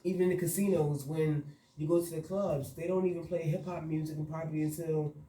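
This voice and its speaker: A young man from New with a soft, slightly high and mellow voice talks earnestly about his struggles, filling his sentences with "you know" and "like." He sounds a bit away from the mic in a roomy studio, with a faint hiss behind him. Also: fairly even intonation, brisk, recorded from a distance. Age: young adult. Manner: earnest, frustrated storytelling with frequent fillers. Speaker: guest, party promoter. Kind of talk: radio interview.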